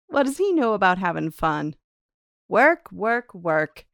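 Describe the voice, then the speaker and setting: A tipsy, scornful young wife from an old radio play, acted by a woman in a table read. She giggles and drawls slowly, swinging widely in pitch, in a close, dry recording. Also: very animated, creaky, no echo, heavily acted. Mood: tipsy, gleeful. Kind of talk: amateur table read of 1930s radio drama.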